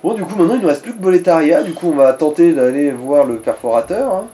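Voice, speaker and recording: A man's voice: a little high, expressive, quick pace, mellow. A man giving informal, sweary gaming commentary in speech, coaching the player along. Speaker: let's play co-commentator.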